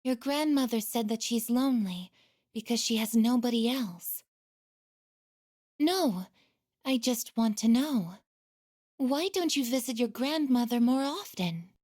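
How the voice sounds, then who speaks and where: A woman voicing a gentle, earnest young female android in a video game, with measured, formal, softly hesitant phrasing. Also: on the high side, expressive. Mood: earnest questioning. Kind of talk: English video game voice acting.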